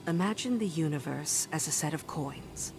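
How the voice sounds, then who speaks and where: Casting: firm female officer for game briefing, deep register, dutiful, noisy ambience behind. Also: lively intonation, normal speed. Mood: instructive. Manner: firm, instructive. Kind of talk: video game mission briefing.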